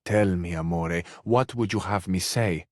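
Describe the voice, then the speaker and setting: A man's voice: low-pitched, a little slow, dry recording, studio quality. A man playing a gallant, lovestruck Italian romantic in a video game, speaking with an Italian accent in a flowery, poetic, courtly manner. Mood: yearning, adoring.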